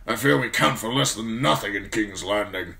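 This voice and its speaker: A grim old commander. He grumbles bluntly and irritably, projecting loudly at a pitch well above a murmur, gruff and weary of it all. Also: normal speed. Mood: bitter.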